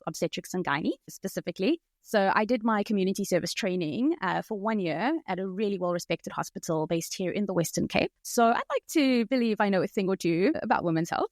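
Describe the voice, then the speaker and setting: A woman's voice: mid-range voice, dry recording, studio quality. A woman in her early thirties with a South African accent, chatty and articulate as she co-hosts a podcast. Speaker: podcast co-host, medical doctor. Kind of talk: conversational podcast introduction.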